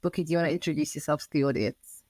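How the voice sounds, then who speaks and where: A woman's voice: low-pitched, racing, darkish tone, no echo. A woman hosting a podcast interview, asking rambling questions full of "sort of" and "like" fillers. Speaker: podcast host.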